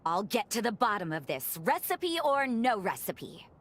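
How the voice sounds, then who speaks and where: A woman voicing a gentle young vampire in a video game cutscene, speaking politely and thoughtfully with formal wording and soft teasing. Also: medium pitch, very animated, normal speed. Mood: curious, pondering. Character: gentle revenant woman, a vampire who loves cooking.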